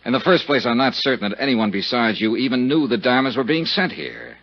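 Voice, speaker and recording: An actor playing a tough, wisecracking investigator in 1950s radio detective drama, blunt and sardonic, with a husky voice. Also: male voice, medium pitch, fairly quick, close to an everyday voice. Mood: pressing, sardonic. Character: tough, brash, wisecracking American male investigator. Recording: dull 1950s radio broadcast recording.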